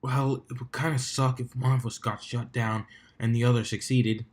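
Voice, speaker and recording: A man's voice: medium pitch, flat delivery, moderate pace, natural voice. Storybook stallion athlete, read by a man: earnest, laid-back, casual, mid-range voice. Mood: sincere, sheepish. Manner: casual, sincere. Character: earnest, easygoing male pony stunt flyer.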